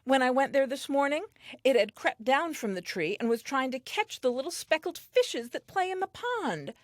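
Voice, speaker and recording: An actress playing a talkative, eager and self-assured first woman telling her diary in a comic audio play, earnest and expressive. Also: sits high, normal speed, no room sound, clean studio sound. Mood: curious, delighted.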